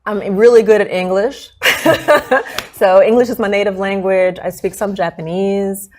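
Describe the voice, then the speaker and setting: A woman's voice: medium pitch, steady tone, normal speed, a little mellow, slight background noise. A woman in her thirties from Chicago, a guest giving interview answers in a reflective way and often ending with "right?". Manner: reflective, frequent tag "right?". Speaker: guest, consultant.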